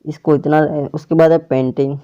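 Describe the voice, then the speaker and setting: A man's voice: on the high side, little pitch movement, moderate pace, dark tone, smooth, lo-fi sound. A man hosting a casual tech tutorial, explaining an app step by step to viewers. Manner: step-by-step instructions addressed to viewers.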